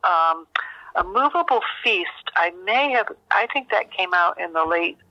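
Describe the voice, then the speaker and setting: An older American woman giving a reflective interview over a landline phone, speaking in a halting, thoughtful way as a guest. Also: on the low side, lively intonation, no room sound. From rural United States. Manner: halting, thoughtful, with long searching pauses. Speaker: interview guest, author and memoir teacher. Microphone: landline telephone. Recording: dull, narrow landline phone sound.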